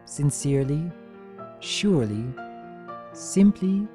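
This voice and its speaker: A performer voicing a refined, sincere young female pony reading out a diary in a genteel, earnest, measured way. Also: mid-range voice, big pitch swings, warm tone, faint music behind. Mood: earnest, wistful. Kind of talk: dramatic reading of diary entries.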